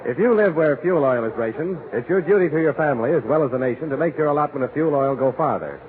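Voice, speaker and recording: A man's voice: on the high side, lively intonation, fast talker, a crowd in the background. A man reading a scripted announcement in 1940s radio style. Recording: tinny 1940s radio broadcast with music.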